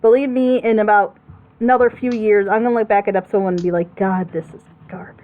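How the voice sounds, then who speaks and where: A woman's voice: medium pitch, steady tone, quick pace. Female reaction host, emphatic and rambling, casual delivery, phone-like narrow sound. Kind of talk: casual chat, opinionated commentary.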